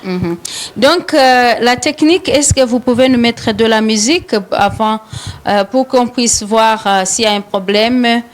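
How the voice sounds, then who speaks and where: A Rwandan woman with a Rwandan French accent, hosting a live studio talk show and pausing often on fillers and repeated words. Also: a little high. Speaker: radio show host.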